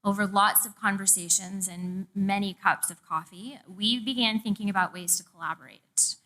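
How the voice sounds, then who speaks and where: A woman's voice: medium pitch, fairly even intonation, moderate pace, sharp tone, rough recording. A middle-aged woman from the American South gives a prepared public lecture as a professor, with personal asides. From Charleston, South Carolina, US. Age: 40s. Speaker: university professor, presenter. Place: public talk in a museum event room.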